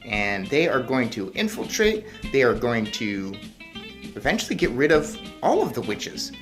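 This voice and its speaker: A woman's voice: very deep voice, sing-song, unhurried, faint music behind. A woman reading a story aloud as narrator and adding informal commentary on it. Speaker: storybook reader.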